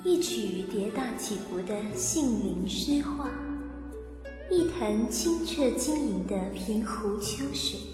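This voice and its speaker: Female announcer: slow, poetic delivery, slightly high, echoey over clear music. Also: animated. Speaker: announcer introducing drama characters. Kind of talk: poetic scripted introduction. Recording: echoey reverb under music.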